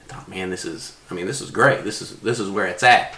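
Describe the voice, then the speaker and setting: Lowish-voiced man chatting in a roomy space. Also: fairly quick, pushed and bright. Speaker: podcast panelist. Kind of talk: casual film discussion. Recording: roomy echo.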